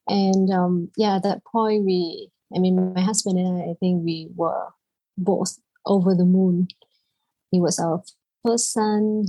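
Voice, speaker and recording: A woman's voice: lowish voice, warm tone, no echo, low-quality recording. A woman from Vietnam, a native Vietnamese speaker with a Vietnamese accent, telling her personal story as a podcast guest.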